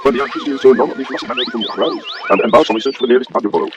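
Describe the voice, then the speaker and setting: A man's voice: mid-range voice, huge pitch swings, very fast. Gabbling sped-up doctor voiced by a man. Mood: earnest gabble. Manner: technical gabble. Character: garbled, gabbling male doctor explaining medical nonsense. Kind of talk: parody advert. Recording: dull, band-limited sound with music.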